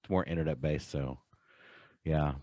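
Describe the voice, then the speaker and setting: A man's voice: very deep voice, steady tone, moderate pace, darkish tone, no echo, clean studio sound. A man hosting a podcast, chatting casually about pop culture. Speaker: podcast host.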